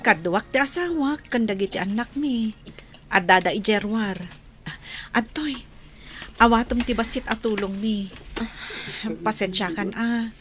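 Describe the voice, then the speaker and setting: A woman's voice: mid-range voice, unhurried, long pauses, lightly acted. A woman playing a sympathetic mother giving solemn condolences in a radio drama. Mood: solemn sympathy. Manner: solemn, consoling.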